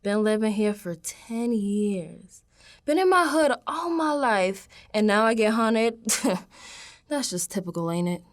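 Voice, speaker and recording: A woman's voice: medium pitch, lively intonation, takes it slowly. A woman playing a streetwise young New Yorker, telling her spooked audio diary in a slangy, conversational radio drama monologue. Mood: wry, exasperated. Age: young adult. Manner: slangy, conversational, dropping into hushed asides.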